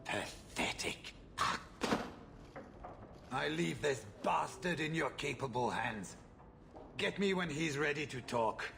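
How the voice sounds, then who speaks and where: Haughty, creaky-voiced merchant. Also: male voice, a little high, animated, slow pace, pauses often, background noise, full character voice. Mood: contemptuous. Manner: pompous, scornful, formal. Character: haughty, contemptuous merchant employer, self-important and grudging. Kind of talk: dramatic video game acting. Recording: music behind the voice.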